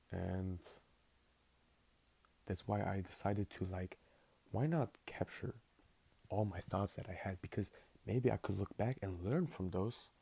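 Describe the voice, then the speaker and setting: A man's voice: low voice, vocal fry, warm tone, breathy edge. An eighteen-year-old man for whom English is not his first language, rambling casually through a spoken journal with lots of fillers. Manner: rambling, filler-heavy, laid-back. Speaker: vlogger.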